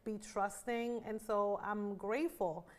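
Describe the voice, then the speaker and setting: A woman's voice: mid-range voice, fairly even intonation, a little slow. Enthusiastic woman life coach. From Dominican Republic. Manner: enthusiastic, reflective, frequent fillers. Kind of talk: interview answers.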